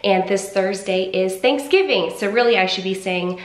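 A woman's voice: mid-range voice, normal speed. A young woman vlogging at home in a chatty, upbeat way, her voice nasal and stuffed up from a cold. She sits close to the camera mic, and the bare rooms around her add a roomy echo. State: congested, stuffed up. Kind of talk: casual vlog talk to camera. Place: home, indoors. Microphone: camera mic at arm's length.

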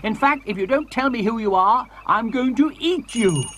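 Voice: medium pitch, animated, a bit bright, a little hiss or hum, close to an everyday voice. A storyteller voicing an eager, bossy young male dinosaur in a children's story. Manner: eager, bossy, quick to panic.

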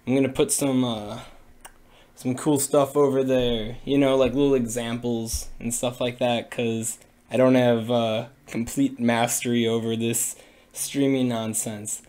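A young man with a cold-raspy, hoarse voice, talking casually and rambling with many fillers on a livestream from a small room into a webcam. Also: medium pitch, little pitch movement, measured pace, a little mellow. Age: young adult. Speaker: streamer. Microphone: webcam at desk.

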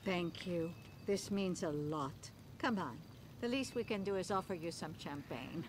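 An actress playing a wealthy middle-aged hostess in a game cutscene, languid, gushing and worn out from partying. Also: female voice, fairly low pitch, expressive, unhurried, slight background noise. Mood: languid, grateful. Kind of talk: video game cutscene acting.

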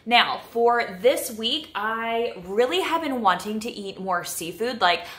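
A woman's voice: on the high side, lively intonation, takes it slowly, a bit bright. A young woman in her twenties chatting casually to camera in her home kitchen, with lots of fillers like "like" and "you know.". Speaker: vlogger. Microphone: camera in front of her at close range.

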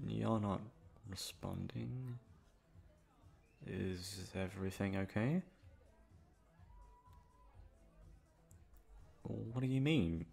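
A man plays a young playboy in an ASMR roleplay. His voice sits low with a lot of gravelly fry, and his informal talk swings between cocky swagger and shy, halting fluster. Faint music plays behind him. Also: full character voice. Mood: shy, nervous. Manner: informal, halting, tongue-tied around crush. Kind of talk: ASMR roleplay audio drama.

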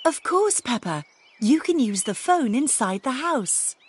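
A woman voicing a gentle, agreeable pig mother in a children's cartoon game. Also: high-pitched, sing-song, measured pace, slightly rough, heavily acted. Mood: warm, agreeable.

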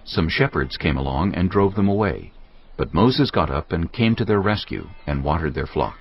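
Deep solemn male Bible narrator. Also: lively intonation, breathy edge. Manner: measured, solemn. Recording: dull studio track under music.

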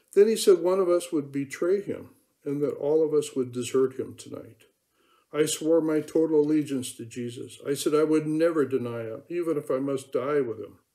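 A man's voice: a little high, dark tone, lightly acted, rough recording. A man performing a remorseful male apostle in a confessional first-person monologue, earnest and emotionally charged. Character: remorseful male apostle recalling his denial.

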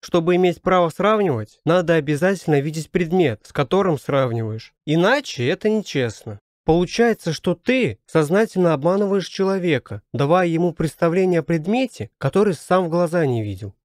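Man voicing high-pitched know-it-all young son. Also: lively intonation, a little fast, hardly any pauses, dry recording, clean studio sound, lightly acted. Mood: pedantic. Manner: pedantic, stubborn.

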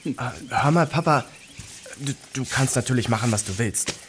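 A man's voice: medium pitch, normal speed, a little hiss or hum, lightly acted. An actor playing a wry, hesitant teenage boy bandmate in a German audio drama. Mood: awkward.

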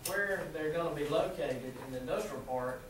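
Halting Southern man, echoey and distant. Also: a little high. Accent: Southern American. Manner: halting, many fillers and restarts. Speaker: city utility official presenting to council. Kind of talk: presenting a proposal at a council meeting. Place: small council meeting room. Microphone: far room camera mic. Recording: echoey room from far off, background noise.

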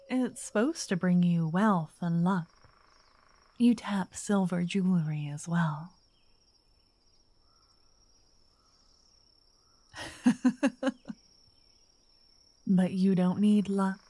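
A woman voices a wealthy vampire lady, romantic and doting, in an ASMR audio drama. Her voice is mellow, soft and breathy, often slipping into a whisper. She talks slowly and elegantly, flirting gently, very close to the mic in a dry space. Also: lowish voice, no echo. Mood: playful, teasing. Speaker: ASMR roleplay voice actor. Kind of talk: intimate ASMR roleplay, half-whispered. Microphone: close microphone in a dry, quiet space.

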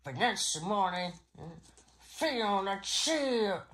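A man makes up bedtime stories in a playful, rambling way. His delivery is slow and halting. The pitch moves around a great deal as he goes. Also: lively intonation, fairly bright tone. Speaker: storyteller. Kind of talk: improvised bedtime storytelling with toys.

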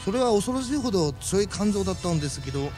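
A man's voice: high voice, big pitch swings, quick pace, over music. An actor playing a folksy, chatty alien man who explains things in a Japanese radio drama. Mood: explanatory, chatty.

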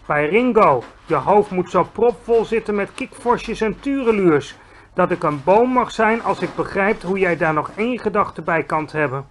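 Man doing a big friendly giant, eccentric and excitable, loud exclamations, drawn-out emphatic words, high for a man, storybook reading. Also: slight background noise. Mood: lecturing.

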